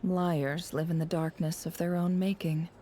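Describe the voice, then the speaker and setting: A woman playing a cool, cynical, guarded spymaster in a video game, speaking in a measured, wry way. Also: fairly low pitch, level tone, dark tone, slight background noise, close to an everyday voice. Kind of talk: video game character dialogue.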